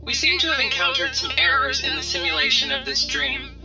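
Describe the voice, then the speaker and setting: A woman's voice: high-pitched, completely flat delivery, fairly quick. A woman voicing a polite, apologetic dream-simulation machine making formal announcements in a horror series. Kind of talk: scripted automated announcement. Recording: dull, distant, under very loud music.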